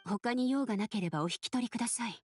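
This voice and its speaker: Breathy, guarded woman in mourning. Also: on the high side, quick pace. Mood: cold, sorrowful. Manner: curt, polite, withdrawn. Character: guarded, sorrowful grey-haired woman in mourning. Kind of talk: anime game voice acting.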